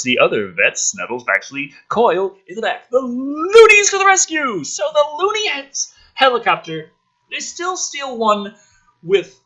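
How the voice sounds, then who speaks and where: A man's voice: high voice, bright, forceful tone, husky, low-quality recording. A man hosting a channel, giving a sarcastic, theatrical script reading with exasperated, foul-mouthed commentary. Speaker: host of a gaming and film channel.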